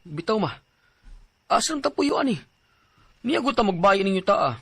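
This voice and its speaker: A man's voice: sits high, big pitch swings, moderate pace, a bit bright, slightly rough, no echo, heavily acted. A man playing a frustrated, worried young grandson in an audio drama.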